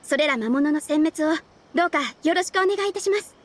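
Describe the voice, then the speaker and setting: A woman's voice: high voice, fast talker, natural voice. A woman playing a polite, earnest young guild receptionist in a game dub, speaking formally and courteously. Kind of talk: Japanese game dub, quest briefing dialogue.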